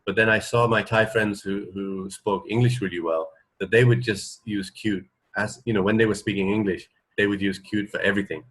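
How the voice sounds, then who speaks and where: Low-pitched man in halting casual chat. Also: steady tone, a bit bright, rough recording. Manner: tentative, halting, thinking aloud. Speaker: radio show co-host.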